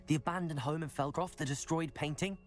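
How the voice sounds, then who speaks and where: A man's voice: a little high, soft background music, lightly acted. Man playing an earnest young student wizard. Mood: cautious, thoughtful. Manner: earnest, measured. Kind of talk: video game dialogue acting.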